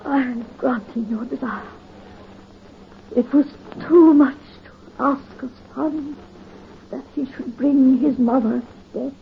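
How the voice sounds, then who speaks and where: A woman's voice: high voice, slow pace, vocal fry, pauses often, slight background noise, exaggerated voice. An actress playing a resolute aristocrat mother who urges sacrifice in solemn, archaic 1930s radio drama speech. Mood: anguished resolve. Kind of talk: 1930s radio horror acting. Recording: muffled 1938 radio broadcast.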